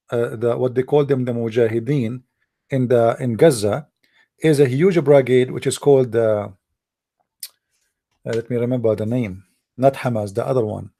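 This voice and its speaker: Heated Arabic-speaking man, soft and mellow. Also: medium pitch, vocal fry. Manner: halting, searching for words, many fillers; heated, blunt opinions. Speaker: commentator. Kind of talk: casual monologue.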